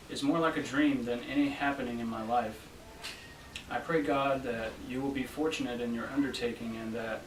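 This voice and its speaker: Young man reading aloud, echoey and distant. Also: medium pitch. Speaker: student reader at a library event. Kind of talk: dramatic reading of an old love letter. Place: library room, reading to a small gathering. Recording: roomy, distant event recording with faint noise.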